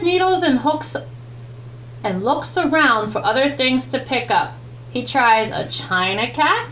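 A young woman with a high, very melodic voice reads a picture book aloud for children. She takes her time, phrasing each line deliberately and expressively. The home recording has clear background noise. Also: a bit bright, poor audio. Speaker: teacher reading a children's book. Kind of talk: read-aloud storytime narration. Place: small room at home, indoors.

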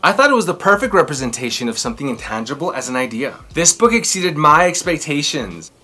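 A man in his thirties presents a children's storytime with theatrical emphasis, stretching key words. His pitch swings widely and his tone is bright, with some music behind him. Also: very animated, fast talker. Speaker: children's book read-aloud host. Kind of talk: expressive storytelling and chatty commentary for children. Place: small furnished room indoors.

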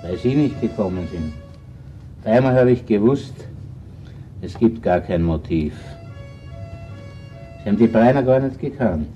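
An actor playing a shrewd, unhurried Viennese police inspector in a radio crime play, with an Austrian accent. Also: male voice, fairly low pitch, lively intonation, lo-fi sound, faint music behind. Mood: calm, understanding. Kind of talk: naturalistic radio crime drama acting.

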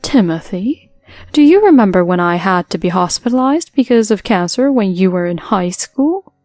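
A woman voicing an older mother-in-law who is gentle with her daughter-in-law and sharp with her son. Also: medium pitch, lively intonation, normal speed, no room sound, soft background music, lightly acted. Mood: reproachful.

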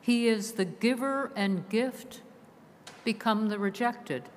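A woman preaching a solemn sermon from a pulpit, measured and slow, her phrases sinking at the ends. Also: on the high side, a little hiss or hum. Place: large stone church, from a pulpit. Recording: long church reverb heard from afar.

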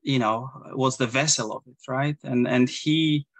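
Polish guy on a video call, kinda gravelly, lots of um and restarts, dry webcam sound. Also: mid-range voice, steady tone, unhurried, no room sound. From Poland. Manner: hesitant, many restarts and fillers. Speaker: guest, Zen priest. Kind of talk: casual interview over video call. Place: small room indoors.

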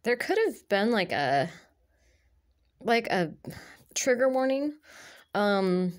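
A woman's voice: medium pitch, very animated, takes it slowly. A woman with a stuffy nose from allergies, reading tarot cards aloud in a loose, rambling way, full of fillers and restarts. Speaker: tarot reader. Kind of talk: casual tarot reading monologue. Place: indoors at a table of tarot cards.

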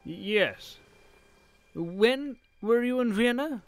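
An actor playing a kindly, whimsical old professor with a theatrical, musing manner in period drama style. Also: male voice, very high-pitched, expressive, slow pace, faint music behind, exaggerated voice. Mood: puzzled. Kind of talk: period radio-drama acting.

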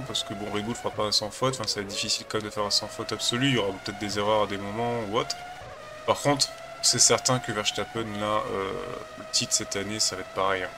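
A man's voice: lowish voice, steady tone, fast talker, background noise. Man with a cold chatting over gameplay. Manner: chatty, rambling, hesitant with many fillers. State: has a cold. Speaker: gaming channel host. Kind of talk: casual commentary while playing a racing game.

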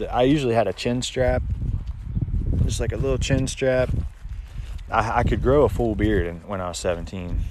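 An American man in his thirties chatting casually on a livestream, laid-back and rambling, talking into a phone held at arm's length outdoors. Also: low voice. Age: 30s. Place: outdoors in open rural countryside. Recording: outdoor phone recording with ambient noise.

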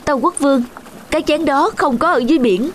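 A woman's voice: sits high, fast, a little hiss or hum, natural voice. A woman voicing a devoted young son in a cartoon folktale, speaking in an earnest, pleading, deferential way. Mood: earnest, respectful.

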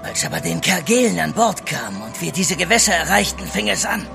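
A desperate, gruff sailor in a radio adventure. The voice is gravelly and pitched high, with coarse threats. Music plays behind it. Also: male voice, very animated, fairly quick, full character voice. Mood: hostile, defiant. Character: desperate, paranoid armed sailor, gruff and hostile. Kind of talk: German radio drama acting.

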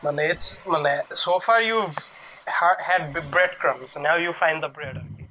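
Guy hosting a chatty podcast, high voice, talks super quick, flips between english and bengali. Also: sharp tone, poor audio. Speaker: podcast host. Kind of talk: casual chat.

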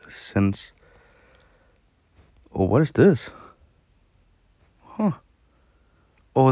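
Low gravelly man with a hoarse throat. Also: darkish tone, no room sound, clean studio sound. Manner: rambling, many fillers and restarts. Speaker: mobile game YouTuber. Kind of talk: casual gameplay commentary.

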